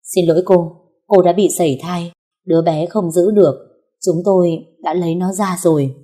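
Lady reading an old doctor guy, calm and measured, low mellow voice. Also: close to an everyday voice. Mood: sorry.

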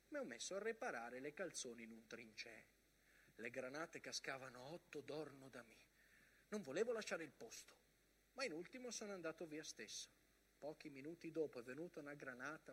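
An animated, high-pitched wartime storyteller. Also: male voice, a little hiss or hum. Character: First World War soldier narrator reading front-line letters aloud. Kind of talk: theatrical monologue, dramatic narration.

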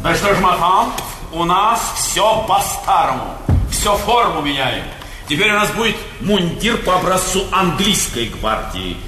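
An actor playing a cheerful young aristocratic guards officer on stage, jaunty and deferential in a theatrical manner. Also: male voice, sits high, very animated, a little slow. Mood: jovial. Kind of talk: classical Russian stage acting. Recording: echoey theatre hall, faint noise.

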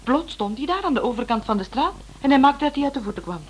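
A woman's voice: high voice, lively intonation, fast. A woman playing a playful, self-assured detective's partner in a radio play, with a teasing manner. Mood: pointed, intrigued. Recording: noisy old radio play recording.

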